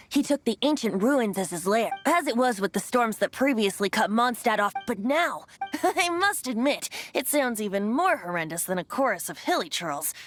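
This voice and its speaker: A woman's voice: fairly high pitch, a bit bright. A woman voicing a carefree young male bard god in a video game, light and playful in manner. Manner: light, playful, airy delivery. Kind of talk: video game dub acting.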